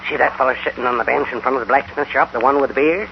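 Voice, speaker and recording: A man's voice: mid-range voice, fairly even intonation, very fast, gravelly, natural voice. A man acting a talkative, comic old cowboy sidekick in a 1950s radio Western, with rustic dialect and hesitant stammering. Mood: uneasy, puzzled. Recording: dull, noisy old radio recording.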